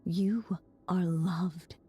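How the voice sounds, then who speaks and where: A tender witch mother telling a storybook tale in a video game. The voice is soft-toned, mellow and a little low, unfolding slowly and solemnly over faint music. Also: fairly even intonation. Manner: slow, measured, tender.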